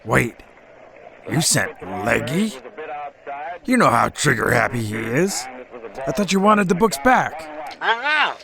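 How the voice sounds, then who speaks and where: A man playing a shifty, nervy 1940s gangster henchman in a noir audio drama, talking slangy underworld talk in a gravelly voice. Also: fairly high pitch, very animated, takes it slowly, pauses often, crowd noise, heavily acted. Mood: nervy, deferential. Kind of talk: noir radio drama phone conversation.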